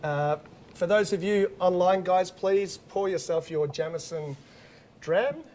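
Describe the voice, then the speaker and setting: A man's voice: sits high, unhurried, darkish tone. A man hosting a live online whisky masterclass, upbeat and laughing often. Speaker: host.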